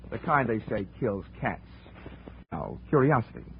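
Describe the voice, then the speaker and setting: Tinny, lively hard-boiled radio detective. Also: male voice, fairly low pitch, a little slow, creaky, slight background noise, exaggerated voice. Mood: wry, challenging. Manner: clipped, wry, sardonic. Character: hard-boiled wisecracking insurance investigator, cool and dogged. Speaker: lead actor and narrator. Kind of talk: 1950s radio detective drama acting, first-person narration. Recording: tinny old-time radio recording with music.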